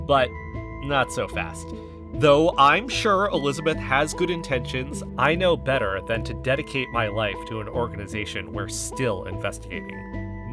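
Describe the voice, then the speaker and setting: A man's voice: medium pitch, lightly acted. A man playing an earnest, self-important young amateur investigator, confiding emphatically in a scripted fiction podcast.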